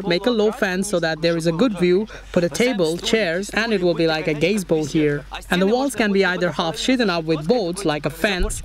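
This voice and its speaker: Young guy vlogging in the woods, high pitched, calm explainer, people noise behind. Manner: plain, explanatory, step-by-step. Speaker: vlogger building a treehouse. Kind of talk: casual outdoor vlog narration. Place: forest outdoors.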